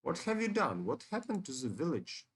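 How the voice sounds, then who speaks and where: A man's voice: on the high side, wildly swooping pitch, normal speed, a bit bright, slightly rough, pitched above the performer's own voice, exaggerated voice. Man reading as a solemn young dragon seeking vengeance. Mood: accusing.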